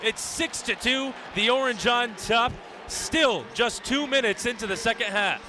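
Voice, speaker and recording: A man's voice: very high voice, a little slow, a crowd in the background. A young man calling live sports on the radio in clipped, vivid present-tense phrases. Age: young adult. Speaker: radio play-by-play announcer. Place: live at a lacrosse game. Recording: noisy live stadium broadcast. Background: venue ambience and noise.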